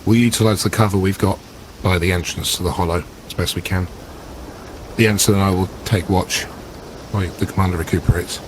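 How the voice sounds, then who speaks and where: Brief: tabletop player, low voice, thoughtful, thinking aloud. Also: male voice, steady tone, fairly quick, background noise. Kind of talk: casual game chat.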